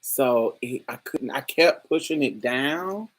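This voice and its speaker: A woman hosting a casual chat, telling stories in a lively way with frequent laughter and free swearing. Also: a bass voice, slow. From New Orleans, USA. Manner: animated storytelling, frequent laughter, swears freely. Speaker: host. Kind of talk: casual livestream chat with viewers.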